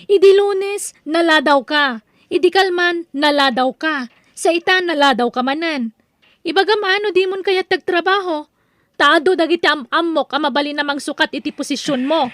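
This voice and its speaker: A stern, haughty young HR officer, voiced by a woman, scolds in a high voice. The sound is dry and close, with no room around it. Her delivery is bossy and sharp-edged, like a radio drama villainess. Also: normal speed, dry recording. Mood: scolding. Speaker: actor in audio drama. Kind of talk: Ilocano radio drama acting.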